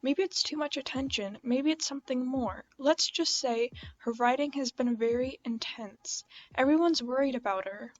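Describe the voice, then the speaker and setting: A woman's voice: sits high, normal speed, lo-fi sound. A woman reading the lines of a concerned, loyal stable worker from a horse game in an earnest manner. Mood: worried, admiring.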